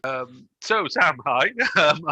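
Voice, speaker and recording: A man's voice: on the high side, animated, slow, sharp tone, dry recording. A man with a Welsh accent hosting a podcast in a chatty, joking way, laughing often. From Wales. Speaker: podcast host. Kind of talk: casual podcast chat.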